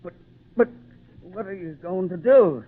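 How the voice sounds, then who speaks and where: An actor playing a cowardly, treacherous ship's mate in an old radio drama, stammering and pleading. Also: male voice, high voice, unhurried. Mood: panicked, pleading. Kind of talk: 1940s radio drama acting. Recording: muffled phone-like old radio recording.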